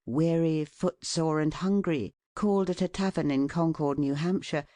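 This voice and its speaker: An audiobook narrator reading scripted prose in a measured, formal and deliberate way. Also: medium pitch, warm tone, no room sound, clean studio sound.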